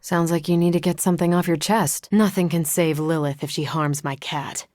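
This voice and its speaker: A woman's voice: low voice, fairly even intonation, normal speed, darkish tone, no room sound, natural voice. A woman voicing a confident, quippy adult female superhero soldier in a video game, with a casual, dryly joking, assured manner. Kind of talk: video game character dialogue.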